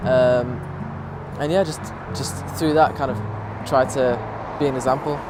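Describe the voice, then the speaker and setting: A man's voice: mid-range voice, steady tone, a little slow. A young man, a student, speaking thoughtfully and searching for words in a documentary interview. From raised in Manchester, born in London. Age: young adult. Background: music.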